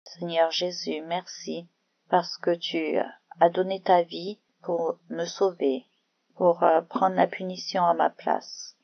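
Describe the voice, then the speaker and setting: A woman with a fairly low, creaky voice explains the Bible to children in simple terms. She takes her time, speaking slowly and directly to the young listener. The recording is dry and a little dull. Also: level tone, no room sound. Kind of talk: narrated children's Bible lesson with prayer.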